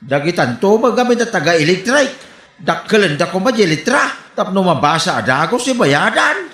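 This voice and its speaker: Casting: male actor for excitable old Ilocano husband, very animated pitch, silly radio-comedy delivery, roomy echo and faint music. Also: moderate pace, exaggerated voice. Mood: bumbling. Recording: echoing room, faint music behind.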